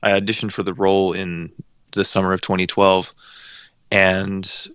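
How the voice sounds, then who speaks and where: A young man in his late teens talks in a casual interview, halting and modest, often pausing on ums. His voice is very low yet bright and crisp, and the recording is dry and close. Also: little pitch movement, no room sound, muffled recording. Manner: hesitant, modest, many ums. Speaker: guest voice actor and director.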